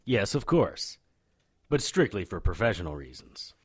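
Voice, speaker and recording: A lowish, lively male voice for a cocky occult private eye. He is wry and irreverent, tossing off wisecracks in noir audio drama style. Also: a little slow, husky, heavily acted. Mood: cocky probing. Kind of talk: audio drama dialogue and first-person narration.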